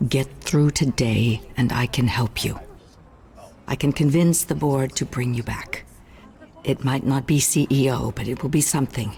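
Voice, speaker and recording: An actress playing a composed, businesslike corporate chairwoman with a measured, formal delivery. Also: a bass voice, creaky, a little mellow. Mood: urgent. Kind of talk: video game voice acting.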